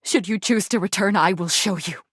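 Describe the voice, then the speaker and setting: A woman's voice: mid-range voice, normal speed, slightly rough, dry recording, clean studio sound, lightly acted. Woman playing a grandiose, archaic-tongued warrior general. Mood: heartbroken. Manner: grandiose archaic Shakespearean speech, formal and theatrical. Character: proud, melodramatic warrior general of a devoted troop. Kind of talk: theatrical video game character acting.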